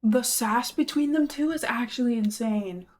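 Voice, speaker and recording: Playful woman reacting, higher voice. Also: moderate pace, a little mellow. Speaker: reaction video host. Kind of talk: casual reaction commentary.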